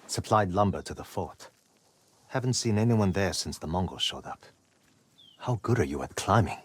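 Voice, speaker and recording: An actor playing a wary, shrewd hunter leader in a dramatic game cutscene, talking in a gruff, clipped, wry manner. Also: male voice, low voice, animated, moderate pace. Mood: practical.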